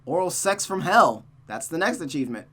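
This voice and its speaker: A host playing through a visual novel and commenting on it with animated, exclaiming reactions, over a noisy recording. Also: medium pitch, brisk. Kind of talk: reacting commentary on a game playthrough.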